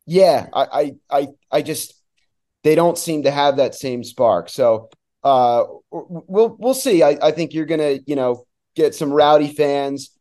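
A man who works as a sports announcer, giving casual, somewhat halting analysis as a guest on a talk show. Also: on the high side, dark tone.

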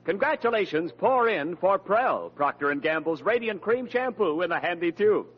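A man's voice: sits high, expressive, normal speed, husky. A man with an American accent as a 1940s radio announcer, reading scripted adverts and credits. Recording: muffled old radio recording with music.

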